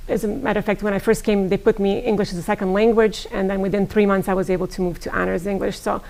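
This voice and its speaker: A middle-aged woman from Serbia, whose first language is Serbian, answering interview questions in a measured way with frequent pauses. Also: mid-range voice, level tone, creaky, a little mellow, poor audio. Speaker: guest, pharmacist.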